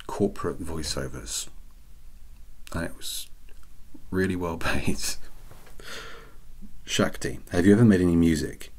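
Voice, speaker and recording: A soft-spoken man, reading out questions and answering them in a wry, self-deprecating way. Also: a bass voice, husky. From Wales. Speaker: ASMR channel host.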